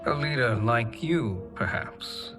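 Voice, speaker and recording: A man's voice: mid-range voice, lively intonation, slow pace, over music. An actor playing a scheming male sorcerer in a video game cutscene, speaking in a silky, formal, calculating way. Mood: sly, calculating.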